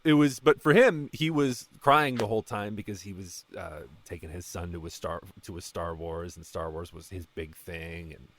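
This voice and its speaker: A man in his late twenties chatting casually as a guest, halting and rambling with a self-deprecating streak. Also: low-pitched. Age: late 20s. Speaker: voice actor, podcast guest. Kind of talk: casual conversation about a fan project.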